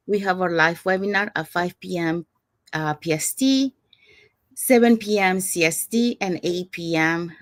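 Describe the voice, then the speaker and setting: A woman's voice: mid-range voice, dry recording. A woman from Panama hosting a live online talk over a video call, chatty with many fillers. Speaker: host. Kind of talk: casual livestream hosting.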